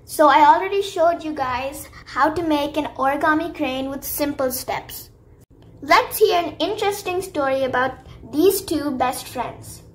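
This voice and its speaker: A woman hosting her channel and narrating a children's bedtime story in a slow, sing-song way. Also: high-pitched.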